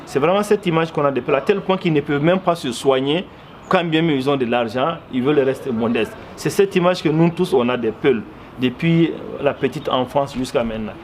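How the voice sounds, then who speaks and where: A man with a Malian accent speaks in a slightly high voice. He answers interview questions in an earnest, explanatory way and leans on repetition to make his points. There is some ambient noise behind him. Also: fairly quick. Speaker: interviewee, commentator. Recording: clearly noisy background ambience.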